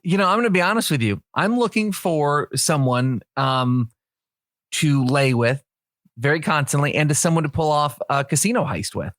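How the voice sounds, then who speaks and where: Man as an eager young caller on a dating line, overinsisting on his manliness; voice a touch deeper when pressed. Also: lively intonation, normal speed, fairly bright tone, dry recording, clean studio sound. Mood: insistent. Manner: insists too hard he sounds manly.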